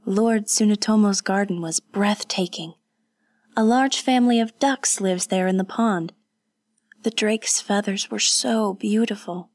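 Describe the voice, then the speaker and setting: Een vrouw die speelt een gretige jonge edelvrouw. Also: female voice, mid-range voice, a little slow, a little mellow, dry recording, studio quality, pitched above the performer's own voice, natural voice. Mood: eager. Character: sheltered young noblewoman, eager and curious.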